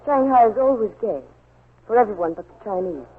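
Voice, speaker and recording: A poised, mysterious young woman in a vintage radio play. She is cool and coy, with a husky edge, heard through a thin, scratchy 1940s recording. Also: medium pitch, lively intonation, a little fast, narrow, tinny audio. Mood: cool, composed.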